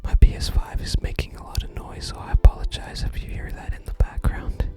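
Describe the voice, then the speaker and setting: A person whispering soft, unhurried ASMR commentary while playing a video game and reading out its text.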